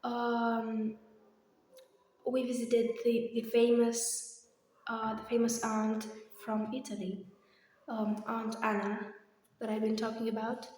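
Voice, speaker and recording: Heavy room echo and long reverb surround a young woman's slightly hoarse voice. She talks at a slow, hesitant pace, rambling casually like someone giving a personal vlog update. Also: a little high, completely flat delivery, a little mellow, a little hiss or hum. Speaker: vlogger. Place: echoey indoor room. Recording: very echoey, reverberant empty room.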